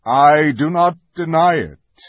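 Calm, commanding storybook lion king, a man acting it out: grave, weighty, husky, dry close sound. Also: fairly high pitch, no room sound, narrow, tinny audio, pitched above the performer's own voice. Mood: solemn. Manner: solemn, measured, authoritative. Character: grave, majestic male lion, calm ruler. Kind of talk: audiobook character voice.

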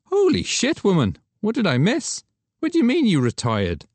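A man voicing a flirtatious, excitable photographer who speaks in a teasing, exclamatory way. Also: fairly high pitch, moderate pace, no echo, voice pushed up. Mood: teasing, incredulous. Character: Irish male photographer, flirtatious, brash, excitable.

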